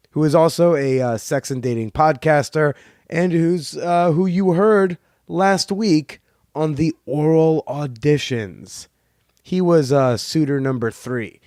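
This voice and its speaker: A man hosting a podcast, talking casually in a rambling, halting way with many fillers. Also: a little high, a little mellow, no room sound, clean studio sound. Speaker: podcast host; comedian. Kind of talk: casual solo monologue.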